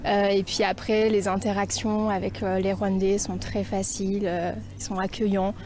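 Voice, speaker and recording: A woman's voice: medium pitch, little pitch movement, normal speed, a little mellow. Hesitant woman in an interview, faint noise. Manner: hesitant, with frequent fillers. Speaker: volunteer French-teaching expert, interviewee. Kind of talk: spontaneous interview.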